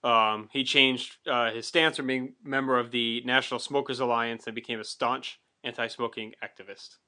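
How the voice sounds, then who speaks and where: A man with a bright voice of average pitch, co-hosting a podcast. He talks in a casual, conversational retrospective style. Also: steady tone, moderate pace. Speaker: podcast co-host. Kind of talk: casual pro wrestling retrospective chat.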